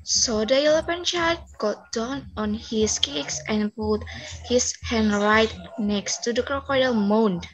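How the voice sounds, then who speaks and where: A young woman narrating a scripted story as a student, reading carefully and haltingly on a video call. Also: a little high, slight background noise. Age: young adult. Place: indoor room, video call. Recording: muffled video call audio.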